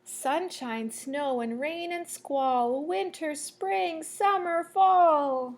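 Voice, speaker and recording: A woman reading a children's picture book aloud like a teacher, in a measured, sing-song storytelling way. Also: high voice. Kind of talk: children's read-aloud storytelling with asides to listeners.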